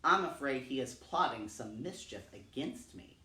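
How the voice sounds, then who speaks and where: A man's voice: mid-range voice, expressive, moderate pace, pushed and bright. Man as an uneasy python, echoey. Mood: worried, suspicious. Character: suspicious, uneasy male python. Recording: roomy, echoing, distant microphone.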